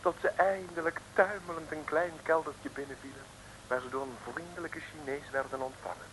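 A man's voice: on the high side, fairly even intonation, a little fast, fairly bright tone, close to an everyday voice, poor audio. A man narrating an old radio serial as a playful, theatrical storyteller. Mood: breathless suspense. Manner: theatrical, singsong lists. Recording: old radio recording, light noise.

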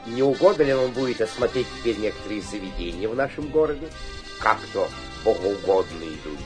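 An actor playing an obsequious, cunning provincial mayor in a theatrical radio play, flattering and slyly suspicious, with a husky voice. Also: male voice, on the low side, fairly quick, over music, lightly acted. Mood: eagerly hospitable. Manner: fawning flattery with muttered suspicious asides. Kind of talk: theatrical radio drama acting.

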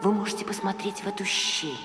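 A woman's voice: mid-range voice, close to an everyday voice. Woman as a courteous owl guide, echoey. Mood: hushed guiding. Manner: measured, polite, confiding. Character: courteous, knowing female owl, enchanted guide. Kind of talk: audio fairy-tale drama acting. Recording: echoey reverb over loud music.

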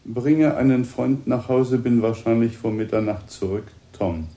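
An actor playing a composed, protective gentleman host who takes charge, in a German radio drama. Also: mid-range voice, a little slow. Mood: firm, reassuring. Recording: music behind the voice.

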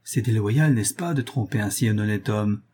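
A man's voice: on the low side, moderate pace, dark tone, breathy edge. A man reading a novel aloud as a remorseful married noblewoman, grave, reproachful and resolute as she renounces her lover. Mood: urgent, commanding.

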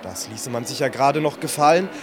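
An actor playing a prim, indignant field reporter in a mock live radio report, speaking in a pompous, florid way. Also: male voice, mid-range voice, expressive, brisk, music in the background, natural voice. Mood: scandalised, prim.

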